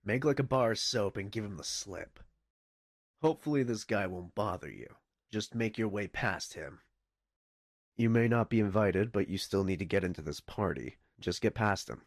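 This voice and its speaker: A male headquarters dispatcher for a video game, with a lowish voice in a dry, close recording. He is bossy and easily exasperated. His briefings are deadpan and official, laced with goofy sarcasm. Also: moderate pace, no echo. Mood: impatient, stern.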